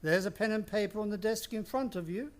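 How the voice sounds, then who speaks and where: An actor playing a miserly, menacing older stepfather in a suspense radio play, speaking with deliberate mockery. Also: high voice, natural voice. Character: sinister, miserly, taunting wealthy stepfather, older man.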